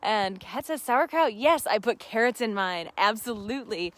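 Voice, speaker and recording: A woman's voice: a little high, lively intonation, normal speed, a bit bright. A woman hosting a casual live gardening Q&A, talking in an effusive, affirming, giggly and conversational way. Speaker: gardening host answering live viewer questions.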